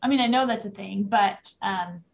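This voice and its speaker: Halting, friendly woman interviewer. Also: mid-range voice, vocal fry. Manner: halting, restarts questions, friendly acknowledgments. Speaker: interviewer host. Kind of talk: casual interview.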